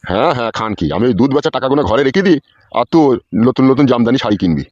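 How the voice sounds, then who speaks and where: A man's voice: mid-range voice, lively intonation, racing, darkish tone, no room sound, muffled recording, natural voice. A man voicing a suspicious, hot-tempered old village husband in a comedy cartoon, ranting coarsely. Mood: furious.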